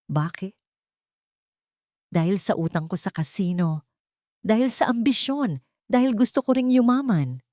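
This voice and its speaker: A woman voicing a bitter, arrogant scheming mistress in a story, with sharp defiant delivery. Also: mid-range voice, lively intonation, measured pace, pauses often, no room sound, clean studio sound, voice pushed up, natural voice.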